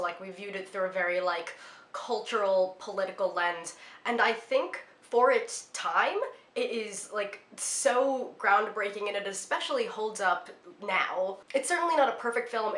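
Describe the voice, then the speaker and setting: A woman in her twenties talks casually about films she loves, her speech full of "like" and "um". She sounds a little congested from a cold, with a bright, forward tone. The room around her is echoey. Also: mid-range voice. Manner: chatty, lots of "like" and "um" fillers. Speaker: film vlogger. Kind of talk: casual film recommendations to camera.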